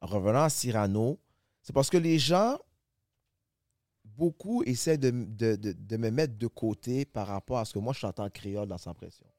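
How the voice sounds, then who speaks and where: A man's voice: mid-range voice, lively intonation, moderate pace, a little mellow, dry recording, studio quality. A man in his forties with a Québécois French accent, a guest rapper chatting casually on a podcast, rambling and often asking if you follow. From Quebec. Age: 40s. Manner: rambling, restarts often, asks "tu comprends?".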